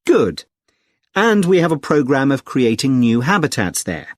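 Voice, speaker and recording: A man with a British accent playing a friendly, helpful club secretary in a clearly enunciated scripted listening-test conversation, polite and explanatory. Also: medium pitch, sing-song, unhurried, no echo, clean studio sound. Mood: helpful, informative. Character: friendly, helpful male secretary of a conservation group. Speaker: actor in a listening test dialogue.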